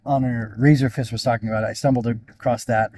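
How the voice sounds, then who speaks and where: A man's voice: mid-range voice, fairly even intonation, fast talker, darkish tone, no echo. A man podcast host giving a casual, unscripted solo review, thinking aloud and often interrupting himself as he rambles.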